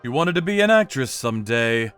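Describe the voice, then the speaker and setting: A man giving dramatic first-person narration as a calm, righteous, menacing vigilante in an animated manga story. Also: medium pitch, brisk, smooth, soft background music. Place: studio voice-over with music behind.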